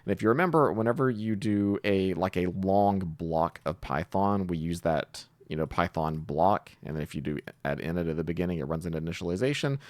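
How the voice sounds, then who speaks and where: A man's voice: low voice, expressive, brisk, hardly any pauses, darkish tone, no echo. A man presenting a casual screencast programming tutorial, thinking aloud informally as he works through each step. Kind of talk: casual screencast coding tutorial, explaining while typing.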